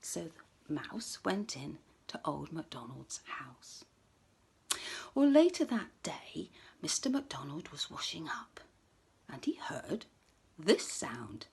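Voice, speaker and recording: A woman telling a children's story expressively, often dropping to a hush for suspense. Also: low-pitched, sing-song, slow, creaky, husky, in a whisper.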